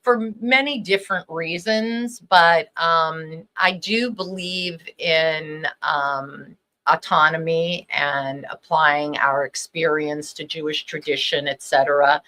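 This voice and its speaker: A woman rabbi from Los Angeles, a native Hebrew speaker, telling her story in a relaxed, thoughtful way with frequent pauses. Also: low-pitched. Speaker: rabbi, panel guest. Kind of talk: conversational storytelling.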